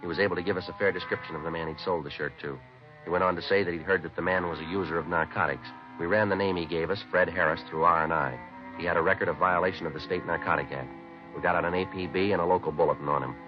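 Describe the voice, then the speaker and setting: A very deep-voiced American police detective played by a male actor, terse and businesslike. He speaks quickly in a flat, matter-of-fact way, as in old radio crime drama. The vintage recording is limited in tone, with clear music behind. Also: dull audio, lightly acted. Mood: deadpan narration. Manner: deadpan, clipped, matter-of-fact. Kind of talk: 1950s radio police drama acting and narration.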